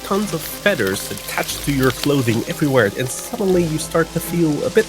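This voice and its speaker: A man's voice: a little high, very animated, a little fast, poor audio. A man playing a tabletop roleplaying game, speaking in formal, articulate character and narrating his own actions with theatrical flair. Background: music under the voice.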